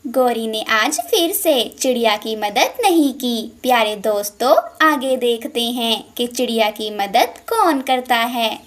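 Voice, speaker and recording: Fairly high-voiced woman story presenter. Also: lively intonation, takes it slowly. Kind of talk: scripted narration addressed to young viewers.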